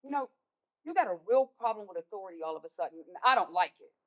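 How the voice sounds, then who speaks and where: A woman's voice: medium pitch, expressive, fast talker, muffled recording, close to an everyday voice. A woman with an American accent playing a stern, exasperated mother of teenagers in a stage play, emphatic in her delivery. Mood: scolding, exasperated. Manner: scolding, emphatic.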